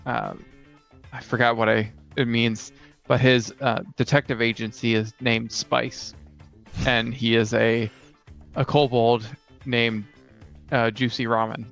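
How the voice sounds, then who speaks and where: A man in casual conversation as a co-host and player, halting as he searches for words. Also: mid-range voice, little pitch movement, creaky, fairly bright tone. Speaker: podcast co-host and tabletop player. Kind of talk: casual chat about fantasy tabletop games. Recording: dull lo-fi sound with faint music. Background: faint guitar music.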